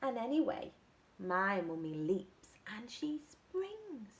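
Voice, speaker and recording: A woman voicing an anxious little monkey searching for its mum, reading rhymes in a sing-song way with high cries of protest. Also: sing-song, slow pace, full character voice. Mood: fretful. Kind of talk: storybook read-aloud.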